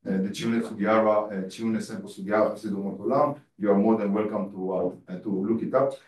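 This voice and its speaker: A man's voice: fairly low pitch, steady tone, fairly quick, a little mellow. A man whose first language is Hebrew gives a public lecture in English, hesitant, with many fillers and restarts. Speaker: guest lecturer. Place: lecture hall. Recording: echoey hall from far off.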